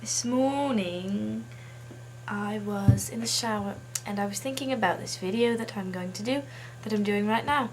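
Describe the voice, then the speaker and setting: A woman chatting casually to camera as a video blogger, halting as she tries out a put-on accent. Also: medium pitch, a little mellow, a little hiss or hum.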